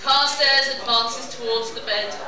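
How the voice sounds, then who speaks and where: Woman, scripted story narrator, high voice, distant, very echoey hall recording, live pulp radio play. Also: fairly even intonation, unhurried, over a noisy crowd. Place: convention hall before an audience. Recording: echoey hall, far off, audience noise.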